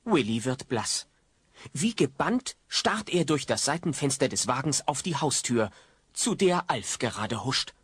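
A man's voice: mid-range voice, sing-song, unhurried, breathy edge, no room sound, exaggerated voice. A man narrating an audio play in a wry, suspenseful, dramatic storytelling style.

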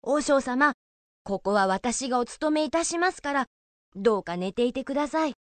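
A woman as a lively, high-voiced boy novice monk. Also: normal speed, dry recording. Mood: earnest. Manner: polite, childlike. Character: earnest, dreamy, sleepy boy novice monk. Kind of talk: radio drama acting.

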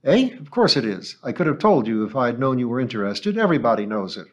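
A man's voice: sing-song, fast. A male audiobook narrator voicing a shrewd, anxious old woman shopkeeper who complains and dodges questions. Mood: defensive. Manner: shrill, complaining, evasive.

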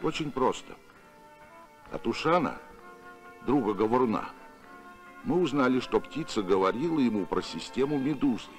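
A man voicing a confident, steady heroic space captain in a cartoon, firm and assured. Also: mid-range voice, moderate pace, pauses often, soft background music, lightly acted. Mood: matter-of-fact.

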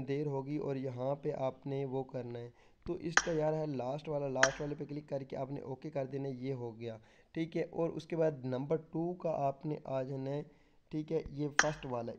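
A man's voice: a little high, steady tone, normal speed, highs cut off, heavy background noise. A man chatting casually through a game walkthrough, repeating simple steps. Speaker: gaming YouTuber.

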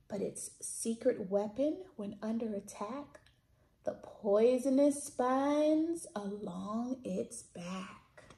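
A woman in her thirties hosting children's storytime, reading picture books aloud in an expressive, sing-song way. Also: high-pitched. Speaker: children's storytime host at a library. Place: indoors, small plain-walled room. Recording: roomy echo from camera mic.

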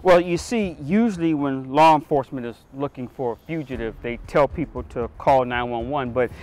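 A man playing a middle-aged police captain, calm, shrewd and skeptical, in a scripted short drama. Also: mid-range voice, close to an everyday voice. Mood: wary, skeptical. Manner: measured, skeptical. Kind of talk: scripted short-film acting. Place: outdoors in a parking lot.

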